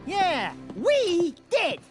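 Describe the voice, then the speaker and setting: Game critter sidekick: tiny, boastful wisecracker, sky-high, exaggerated, hugely animated cartoon delivery. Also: male voice, drawn-out words, over music, heavily acted. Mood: excited. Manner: zany, exaggerated. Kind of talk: cartoonish video game acting.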